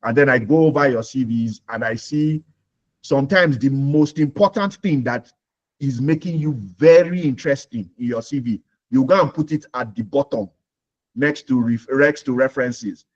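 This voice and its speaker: A man's voice: medium pitch, moderate pace, lo-fi sound. Nigerian man hosting a career webinar. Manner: explains with homely examples, repeats points, asks "you understand?". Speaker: webinar host. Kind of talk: live Q&A webinar.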